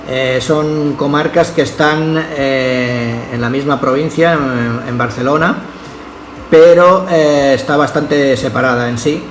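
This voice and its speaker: Higher-pitched man in an echoey room. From Catalonia, Spain. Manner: hesitant, often checks with "ok?". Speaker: amateur radio operator. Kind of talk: casual ham radio contact, conversational with call signs. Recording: roomy echo with faint noise.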